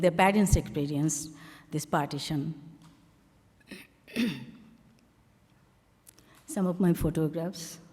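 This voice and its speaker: A Bangladeshi woman speaks low and mellow, picking her way through a story with plenty of pauses and fillers. She is an artist walking a panel audience through her slides. Her voice rings out with the long reverb of a large hall. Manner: halting, frequent fillers, plain storytelling.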